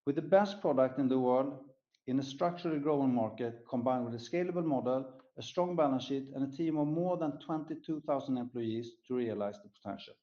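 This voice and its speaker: A man's voice: mid-range voice, a little fast, mellow, highs cut off. A man presenting company results as an executive on an earnings call, reading prepared remarks in a formal way. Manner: formal, scripted delivery. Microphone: conference call line.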